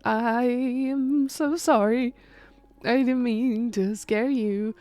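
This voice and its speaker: A woman voicing a confused, gentle newly dead ghost who stammers and pleads slowly in an audio drama. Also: fairly high pitch, steady tone, no echo, faint music behind. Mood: timid. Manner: stammering, halting; slow, pleading.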